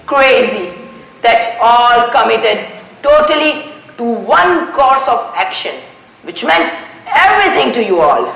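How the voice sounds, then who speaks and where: A young performer in a school play acting as a male detective, declaiming with deliberate, dramatic pauses. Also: medium pitch, gravelly, fairly bright tone, heavily acted. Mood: accusing, deliberate. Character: theatrical male detective solving a murder. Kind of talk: amateur school stage play monologue. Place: school stage. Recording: echoey stage recording with music behind.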